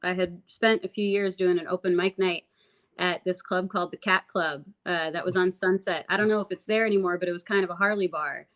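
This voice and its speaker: Raspy, lower-pitched woman, musician guest, reflective and rambling, dull lo-fi sound. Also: steady tone, brisk, fairly bright tone. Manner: reflective, rambling with many fillers and restarts. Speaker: singer-songwriter guest. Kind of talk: casual interview chat. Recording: dull lo-fi audio.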